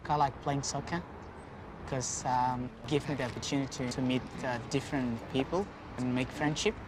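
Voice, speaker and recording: A man's voice: medium pitch, steady tone, moderate pace, noisy background. A man from South Sudan, now living in Melbourne, speaking shyly in simple phrases in a documentary interview.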